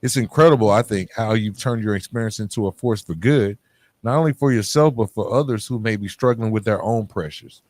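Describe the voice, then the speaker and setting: A man's voice: on the low side, fairly quick, a little mellow, no echo. A man hosting a podcast interview in a casual, chummy, conversational way. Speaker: podcast host interviewing a guest. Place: living room at home.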